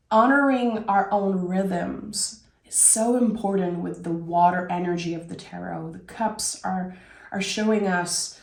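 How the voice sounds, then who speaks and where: A woman from Québec giving a casual tarot reading, her voice raspy as she gets over a cold, talking in a reflective, meandering way. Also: medium pitch, darkish tone, echoey room. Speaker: tarot reader.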